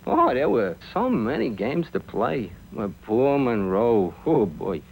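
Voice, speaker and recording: Male cartoon narrator: lively, varied intonation, storytelling delivery, faintly noisy old soundtrack. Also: mid-range voice, slow, gravelly.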